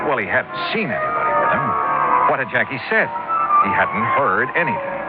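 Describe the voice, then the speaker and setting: An actor playing a shrewd hardboiled American insurance investigator in a 1950s radio drama, brisk and probing. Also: male voice, mid-range voice, narrow, tinny audio. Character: hardboiled, shrewd male insurance investigator narrating his case. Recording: band-limited vintage radio recording.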